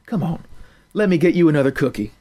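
A man's voice: fairly high pitch, expressive, quick pace, warm tone, pitched above the performer's own voice, natural voice. A man voicing a kindly school lunch lady who speaks in a soothing, motherly way. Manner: soothing, calls people darling and honey.

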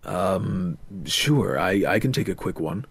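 Man playing an earnest, husky young man. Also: sits low, animated, takes it slowly, pitched below the performer's own voice, exaggerated voice. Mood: nervous. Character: twenty-year-old earnest, restless lovestruck young man.

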